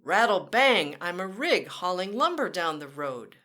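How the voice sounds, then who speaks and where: A woman reads a children's picture book aloud, slow and unhurried, with a bright, clear tone. Her voice swoops up and down in a lively sing-song, and she gives the vehicle noises a playful bounce. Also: on the low side. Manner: talks directly to children. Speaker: children's storyteller. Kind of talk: read-aloud storytime.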